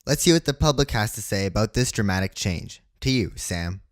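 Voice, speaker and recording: A man's voice: fairly low pitch, lively intonation, fairly quick, natural voice. A man playing an earnest 1920s news anchor, reading a scripted report in a formal announcer manner.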